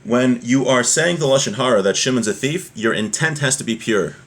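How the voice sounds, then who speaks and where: A man's voice: medium pitch, lively intonation, fairly quick. A man giving an informal religious lesson, reading and explaining as he goes and putting rhetorical questions to the listener. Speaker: religious teacher.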